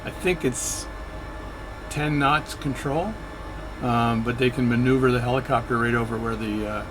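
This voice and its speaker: Man, mid-pitched voice, casual rambling narration, self-correcting, home desk mic, steady air conditioning hum. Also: moderate pace. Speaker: flight sim video creator. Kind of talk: casual narration while playing a flight sim.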